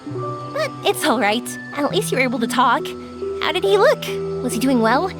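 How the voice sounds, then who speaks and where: An actress voicing a cheerful, earnest young woman who makes fireworks, expressive and sincere, in an anime-style video game. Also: high-pitched, moderate pace, over music. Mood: caring.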